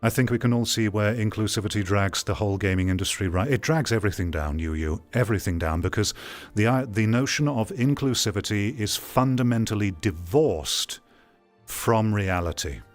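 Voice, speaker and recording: A man with a fairly low voice delivers opinionated live commentary in the manner of a streamer on a rant. He is blunt and outspoken, and music plays behind his voice. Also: normal speed. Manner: blunt, ranting, swearing.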